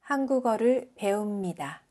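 A woman from South Korea reading example sentences as a language teacher, enunciating carefully and deliberately. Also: medium pitch, animated, dark tone.

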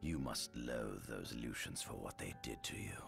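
An actor playing a calculating imperial scientist in a game cutscene, measured, courteous and insinuatingly persuasive. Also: male voice, very deep voice, gravelly, heavily acted. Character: calculating male imperial scientist-chief, smooth, persuasive, scheming. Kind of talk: dramatic game cutscene acting. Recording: music playing behind dialogue.

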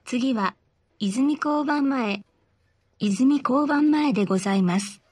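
A woman reading scripted bus announcements in a polite, formal, measured way. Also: sits high.

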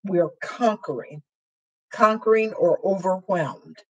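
An older woman teaching sign language, explaining signs slowly with a voice worn hoarse after surgery, filling pauses with ums. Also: medium pitch, a little mellow, lo-fi sound. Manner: slow, halting, with frequent fillers while signing. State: voice weakened and hoarse after throat intubation. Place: small room with dark curtain backdrop, indoors.

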